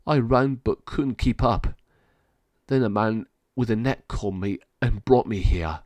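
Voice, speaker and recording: A man's voice: fairly low pitch, unhurried, a little mellow, no room sound, natural voice. A man voicing a wistful, abandoned family dog in a story, speaking earnestly and plaintively.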